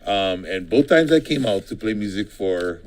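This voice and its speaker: A man's voice: fairly low pitch, animated, moderate pace. A middle-aged man from Hawaii, a political candidate, talking casually in a studio interview and rambling with frequent ums and restarts.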